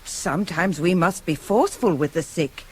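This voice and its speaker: A woman's voice: on the low side, lively intonation, moderate pace, husky. An actress playing a concerned woman of a royal court in a radio Greek tragedy, formal and earnestly questioning. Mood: anxious concern. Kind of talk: 1980s radio drama acting of Greek tragedy.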